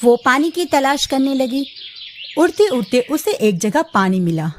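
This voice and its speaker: A woman's voice: high-pitched, sing-song, a little slow, slight background noise. A woman storyteller narrating a children's bedtime cartoon tale.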